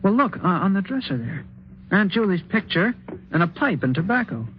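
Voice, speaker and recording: An actor playing a practical, concerned young husband, plainspoken and a little hesitant, in a 1950s radio drama. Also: male voice, sits high, very animated, normal speed. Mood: puzzled. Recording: muffled, noisy old radio recording.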